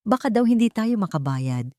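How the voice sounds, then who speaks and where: A woman's voice: mid-range voice, big pitch swings, normal speed, darkish tone, dry recording, studio quality, voice pushed up, close to an everyday voice. A woman storyteller voicing a haughty, cold and condescending female operations manager in a drama story. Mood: smug.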